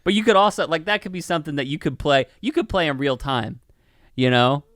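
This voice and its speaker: A man's voice: on the high side, expressive, a little fast, fairly bright tone, dry recording, studio quality. A man co-hosting a podcast in casual chat, rambling and peppering his talk with "like" and swearing. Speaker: podcast co-host. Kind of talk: casual chat, film review.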